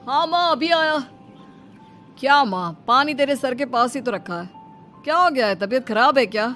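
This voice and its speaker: A woman's voice: high-pitched, lively intonation, normal speed, a bit bright, faint music behind. A woman playing a dutiful, caring young adult son who talks earnestly to his mother in a family moral story. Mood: concerned. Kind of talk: acted bedtime moral story.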